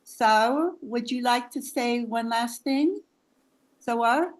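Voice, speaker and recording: A woman's voice: on the high side, slow, low-quality recording. Woman, english coach, webinar host, encouraging, video call audio. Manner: encouraging, warm praise of participants. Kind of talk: hosting an online webinar.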